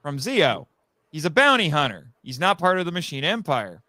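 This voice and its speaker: A man chatting casually as a guest on a live podcast, telling stories in a rambling, animated way and acting out quoted remarks. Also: fairly high pitch, moderate pace, no echo, studio quality. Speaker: guest, audio drama writer.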